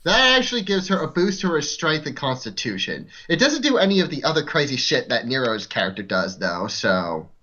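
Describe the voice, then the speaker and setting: A woman chats casually as a player in a tabletop game. Her voice is bright and swings widely in pitch, with a hesitant, joking delivery. The recording is a little dull and lo-fi. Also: sing-song, a little fast, hardly any pauses.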